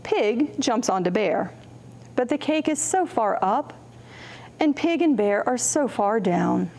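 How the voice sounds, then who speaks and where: Slow, higher-pitched woman reading to young children. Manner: simple phrasing with questions to young listeners; expressive, acts out contrasts with her voice. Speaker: children's storytime host, librarian. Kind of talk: reading picture books aloud to young children.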